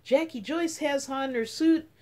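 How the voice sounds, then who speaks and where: A woman voicing an eager, excited young girl in a children's storybook. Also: high voice, measured pace, voice pushed up. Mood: eager, pleading. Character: eager young girl narrator pleading for rain. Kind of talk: children's storybook read-aloud.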